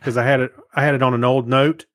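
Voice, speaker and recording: A man talks casually as a podcast co-host. His voice sits at an average pitch, and he conversationally quotes his own thoughts. The recording is very dry. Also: flat delivery, fast talker, a bit bright, no room sound. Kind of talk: casual chat.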